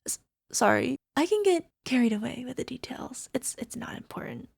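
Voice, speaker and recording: A woman playing a young, sweetly possessive yandere alchemist girlfriend in an ASMR roleplay, coaxing softly and sometimes slipping toward a whisper. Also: high voice, huge pitch swings, normal speed, creaky, breathy edge, no room sound, studio quality, full character voice. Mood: apologetic, intent. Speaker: voice actor. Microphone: close microphone, dry.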